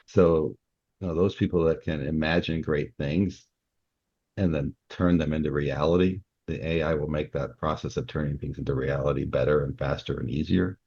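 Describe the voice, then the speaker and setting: Man, very low husky voice, earnest explainer, podcast guest, roomy dull recording. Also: steady tone, normal speed, darkish tone. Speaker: security company worker. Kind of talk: casual explanatory conversation.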